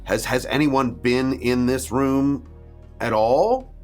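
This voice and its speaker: A man's voice: medium pitch, slow pace, soft background music. A man talking casually as a player in a tabletop roleplaying game.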